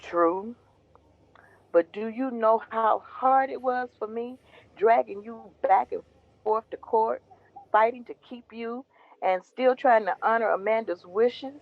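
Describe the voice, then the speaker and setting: A devout mother in an audio drama, consoling her son with gentle, earnest faith. Her voice sits a bit high and comes through slightly dull and lo-fi. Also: slow pace, no echo. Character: Mama Ronnie, warm devout foster mother. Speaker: Drew's mother.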